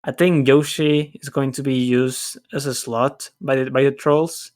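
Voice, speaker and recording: A man co-hosting a podcast in casual chat, talking haltingly and repeating his words as he goes. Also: mid-range voice, fairly even intonation, a little mellow. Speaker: podcast co-host.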